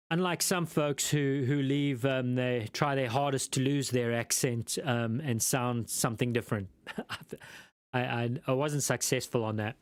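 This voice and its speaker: South African male host, smooth resonant voice, rambling and self-deprecating live chat. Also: mid-range voice, no room sound, studio quality. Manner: rambling, self-deprecating, lots of ums and restarts. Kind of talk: casual live chat reading viewer comments.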